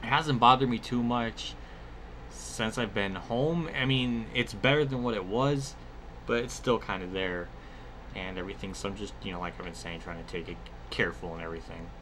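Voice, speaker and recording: A man's voice: on the low side, normal speed, fairly bright tone, a little hiss or hum, poor audio. A man giving casual, rambling gameplay commentary mixed with personal storytelling, conversational and free with swearing. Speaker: gaming video creator.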